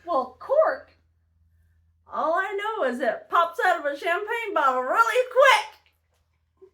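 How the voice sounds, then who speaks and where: A woman with a high, bright voice co-hosts a casual show with goofy, playful banter. Her pitch bounces around a lot. She sounds bubbly and silly throughout. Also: very animated, slow pace. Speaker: co-host.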